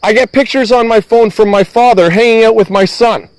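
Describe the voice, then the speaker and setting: Middle-aged man, high voice, angry, emphatic, heavy swearing. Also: fairly quick, clean tone, no room sound, low-quality recording. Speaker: van-dwelling vlogger. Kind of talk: agitated venting to a police officer. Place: outdoors at a rural property.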